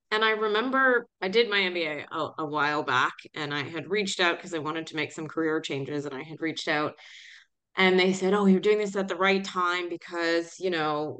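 A woman in her forties hosting a podcast interview, affirming and encouraging, who asks long, thoughtful questions. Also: on the low side. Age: 40s. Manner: warm, affirming, frequent agreement sounds. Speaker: podcast host.

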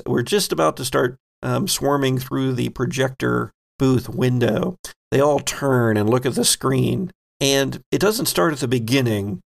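A man narrating a tabletop horror game as game master, telling it in suspenseful, descriptive phrases with a gravelly creak. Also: medium pitch.

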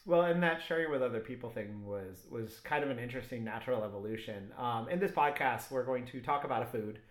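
Male podcast co-host, mid-pitched, relaxed, in a roomy echo. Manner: easygoing, uses fillers. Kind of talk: casual podcast chat. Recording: echoey room.

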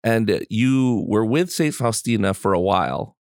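Very low-voiced woman interviewer. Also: expressive, moderate pace, darkish tone, dry recording, studio quality. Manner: encouraging, frequent backchannel replies. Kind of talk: casual interview.

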